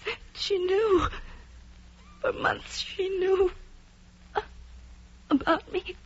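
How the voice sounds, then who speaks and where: Radio drama dying lover, American female: extremely high, breathy, agonizingly slow and halting, muffled vintage sound. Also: exaggerated voice. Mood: agonized, fading. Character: dying mistress, weak, pained and clinging. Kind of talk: 1950s radio drama acting.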